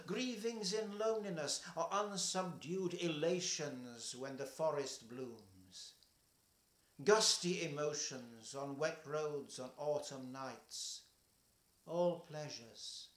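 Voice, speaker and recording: An older man reading a poem aloud at home, slow and measured, his voice falling soft at the ends of lines. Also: a little high, roomy sound. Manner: slow, measured, trailing softly at line ends. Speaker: poetry reader. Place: home kitchen, indoors.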